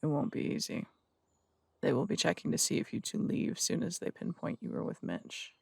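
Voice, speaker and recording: A man's voice: fairly high pitch, expressive, normal speed, vocal fry, a little mellow, husky, no room sound, studio quality, heavily acted. A man playing a stern male detective who runs the morgue, blunt and warning in an audio drama.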